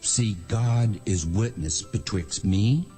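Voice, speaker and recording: An actor playing a stern, possessive patriarch in a dramatized scripture reading, solemn and declamatory. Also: male voice, on the low side, slow pace, mellow, faint music behind. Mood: solemn warning. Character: stern, possessive patriarch, a father-in-law accusing and warning.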